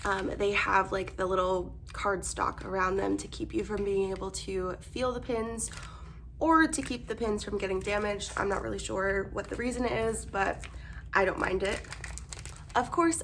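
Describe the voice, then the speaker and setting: A young woman vlogger chatting casually through an unboxing, bubbly and gushing, her voice hoarse as she loses it. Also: mid-range voice, a bit bright, slight background noise.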